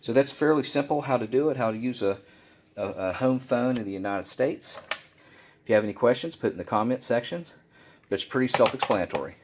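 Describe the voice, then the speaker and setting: A man's voice: mid-range voice, brisk, background noise. A man presenting a casual how-to demonstration indoors, explaining things plainly and repeating himself for clarity. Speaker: instructor, video presenter. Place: indoor room at a desk.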